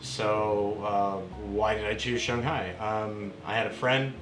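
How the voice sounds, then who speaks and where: Man, forties, from Canada, interview guest, casual storytelling, lower voice, echoey apartment. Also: slow, music in the background. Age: 40s. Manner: relaxed storytelling with frequent fillers. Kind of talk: casual conversation. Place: apartment room.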